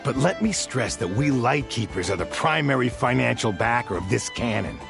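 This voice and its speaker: A man's voice: mid-range voice, lively intonation, moderate pace, creaky, music in the background. A man voicing a composed, dutiful soldier in a video game, speaking formally and with courteous reserve. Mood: firm, patient.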